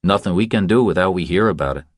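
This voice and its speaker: A man's voice: deep voice, quick pace, no room sound, studio quality, voice pushed up. Man as a dismissive young state trooper. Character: young Texas Ranger trooper, indifferent, by-the-book.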